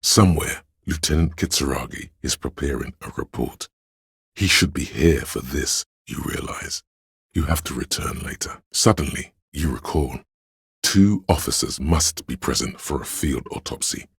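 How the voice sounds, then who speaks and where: An exceptionally low-voiced man narrates a game with solemn, literary weight. His voice is husky and rasping, recorded close and dry. Each phrase is laid down deliberately, like scripted drama. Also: no room sound. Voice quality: gravelly, husky, very deep. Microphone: close studio microphone, dry.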